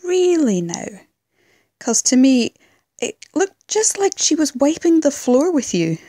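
A woman's voice: sits high, sing-song, a little slow, mellow. Woman as a cocky, taunting female rogue. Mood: mocking. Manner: taunting, sassy, self-assured. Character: cocky, mocking, menacing female rogue troublemaker. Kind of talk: fan audio drama acting.

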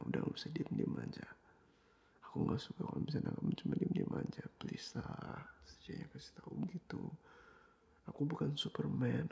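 A young man in a boyfriend roleplay, talking softly and coaxingly in a half-whisper, dropping English phrases into his speech. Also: mid-range voice, vocal fry, dull audio.

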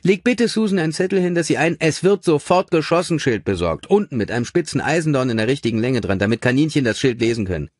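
A man's voice: fairly high pitch, sing-song, fast talker, hardly any pauses, darkish tone, no room sound, clean studio sound, pitched above the performer's own voice. A man voicing an eccentric male boss who rambles bossily and interrupts himself, in an audiobook. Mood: bossy. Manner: rambling, self-interrupting, bossy.

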